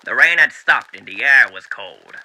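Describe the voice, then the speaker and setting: A man's voice: mid-range voice, lively intonation, normal speed, sharp tone, poor audio. A man voicing a genteel, eerily cheery demon radio host with showy, mannered delivery, in the style of 1930s radio acting. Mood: solemn storytelling.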